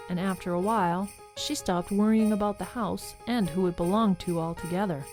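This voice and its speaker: A woman narrator reading scripted storybook narration for an audio drama. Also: mid-range voice. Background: music behind the voice.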